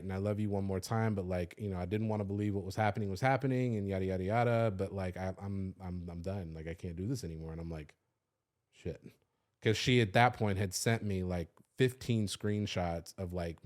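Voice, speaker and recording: Man, 30s, lowish voice; confessional podcast host, casual, profane, filler-heavy. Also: a little mellow. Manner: loose and confessional, swears freely, many "like" fillers. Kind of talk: candid personal storytelling.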